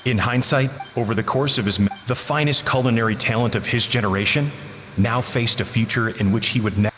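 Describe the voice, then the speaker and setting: A man's voice: medium pitch, a little fast, big-room sound, background noise, lightly acted. An actor playing a regretful man confessing his past, sombre and sighing.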